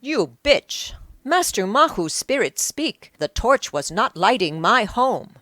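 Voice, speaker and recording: Lady doing an indignant egyptian drunk guy, loud n hot tempered, swoopy emphatic delivery, audiobook. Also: on the high side, big pitch swings, takes it slowly, no room sound, clean studio sound, pitched above the performer's own voice, heavily acted. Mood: furious. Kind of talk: audiobook character acting.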